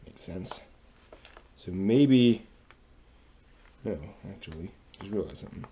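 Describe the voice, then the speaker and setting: A man thinking aloud in a casual, halting, tentative way as he works with his hands on a DIY project. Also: low-pitched, animated. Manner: muttering to himself, tentative, halting. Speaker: host of a DIY workshop vlog. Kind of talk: casual thinking-aloud commentary while working. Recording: loud power drill and tool noise. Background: power drill, tool clicks.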